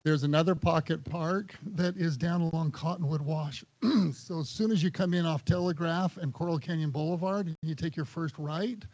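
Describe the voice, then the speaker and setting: A man serving as an HOA operations director at a town hall meeting, losing his voice and clearing his throat, methodically giving spoken directions and correcting himself. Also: fairly high pitch, moderate pace, few pauses, a little mellow, dull audio.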